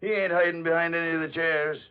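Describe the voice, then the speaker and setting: A gruff police sergeant, played by a male actor, pitched a little high. He orders people about in plain folksy speech, in the manner of 1940s radio drama. The sound is dull and aged. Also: fairly even intonation, fast talker, lightly acted. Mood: matter-of-fact. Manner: bossy, colloquial, folksy. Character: gruff, take-charge Irish-American police sergeant. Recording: dull lo-fi old radio recording.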